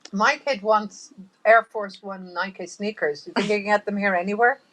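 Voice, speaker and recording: A woman's voice: mid-range voice, normal speed, a bit bright, low-quality recording. A woman co-hosting a casual livestream, chiming in with teasing, wry asides. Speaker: livestream co-host. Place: balcony, outdoors.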